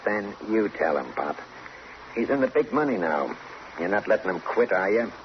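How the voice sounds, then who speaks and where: A smug, menacing racketeer in an old radio crime play. His low voice swings widely as he mocks and threatens in tough slang. The recording is muffled and noisy. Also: sing-song, normal speed. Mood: smug, pushy. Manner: mocking, tough slangy talk. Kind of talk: old-time radio crime drama acting.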